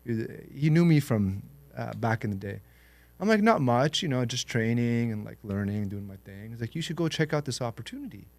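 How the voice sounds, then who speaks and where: A young man born in Lebanon and raised in Canada, telling his life story in a relaxed, reflective way as a podcast guest. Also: mid-range voice, a little fast, no room sound. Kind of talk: interview storytelling.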